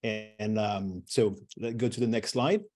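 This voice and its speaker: A man's voice: lowish voice, unhurried, darkish tone. A middle-aged man presenting a webinar, talking loosely with many fillers and restarts.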